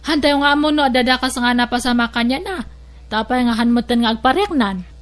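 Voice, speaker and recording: A woman's voice: on the high side, brisk, close to an everyday voice. A woman voicing a firm older sister-in-law in an audio drama, who lectures and asks pointed questions. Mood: advising.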